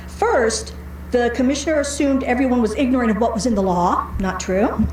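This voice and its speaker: An American woman with a high voice reads out a prepared public comment. Her delivery is argumentative and sardonic, pressing each point. The room around her is echoey, with faint noise behind the voice. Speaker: public commenter at a county board meeting. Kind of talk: prepared speech read aloud at a public meeting. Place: indoor county board meeting room.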